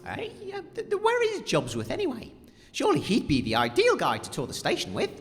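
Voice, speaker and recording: A man plays an eager, excitable ghost-tour radio host in an audio comedy. The voice is high and swoops about animatedly, set in a reverberant hall with faint noise. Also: a little fast, full character voice. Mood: curious. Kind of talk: comedy audio drama acting.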